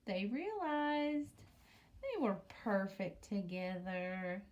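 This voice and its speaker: Slow, mellow woman reading to children. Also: medium pitch, big pitch swings, dull audio. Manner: often drops into a hushed near-whisper; asks the listening children questions. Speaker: teacher reading aloud to students. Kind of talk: picture-book read-aloud with commentary for young children.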